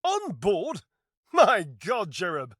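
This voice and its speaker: A performer playing an effusive, dramatic, excitable friend in a video game, gushing and melodramatic. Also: medium pitch, takes it slowly, no room sound, studio quality, exaggerated voice. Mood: gushing, excited. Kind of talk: theatrical video game voice acting.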